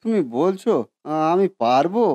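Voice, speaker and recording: Man acting a humble, very high-voiced cartoon cook. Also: expressive, moderate pace, warm tone, dry recording. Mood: worried, hesitant. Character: humble, good-natured biryani seller and husband. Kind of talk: Bengali cartoon story voice acting.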